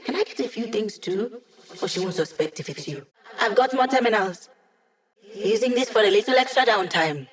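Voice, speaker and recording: A woman's voice: mid-range voice, expressive, normal speed, close to an everyday voice. A woman voicing a wry, upbeat young hacker who lives as a digital mind, in a casual, teasing video game performance. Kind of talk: video game character voice lines.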